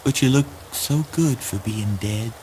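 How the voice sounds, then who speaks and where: A man's voice: medium pitch, measured pace, mellow, a little hiss or hum, close to an everyday voice, rough recording. A man playing an eerie, morbid son in a poetic audio play, with a theatrical, singsong delivery. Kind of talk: poetic spoken word drama acting.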